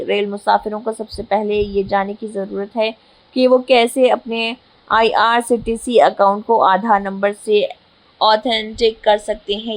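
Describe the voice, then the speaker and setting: A woman's voice: medium pitch, poor audio. A woman news presenter reading scripted news in a formal read-out manner, with an occasional stumble.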